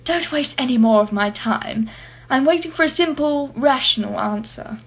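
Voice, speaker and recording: A woman's voice: high voice, lively intonation, normal speed, husky, dull audio, heavily acted. An actress playing an imperious, wealthy businesswoman in a radio play, guarded and impatient, speaking in a clipped, formal way. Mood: impatient, dismissive.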